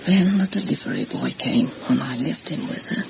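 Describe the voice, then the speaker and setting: A woman's voice: very deep voice, very animated, normal speed, creaky, full character voice. A woman playing an anxious, gossipy older housekeeper in a vintage radio horror drama, confiding and deferential as she tells her story dramatically. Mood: haunted, distressed. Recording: noisy vintage radio recording.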